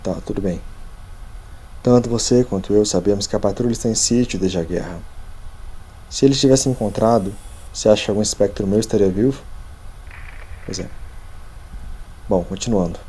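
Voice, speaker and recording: A man playing a weary, defensive agent with a husky voice, placating his boss in an audio drama. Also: fairly low pitch, fairly quick, pauses often, warm tone. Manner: casual, placating, conversational. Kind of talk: audio drama phone call acting.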